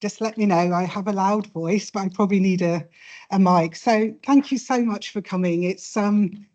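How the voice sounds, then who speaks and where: A woman's voice: mid-range voice, normal speed, darkish tone, muffled recording. A woman addressing an audience in an event room without a microphone, earnest and emphatic. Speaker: project lead speaker.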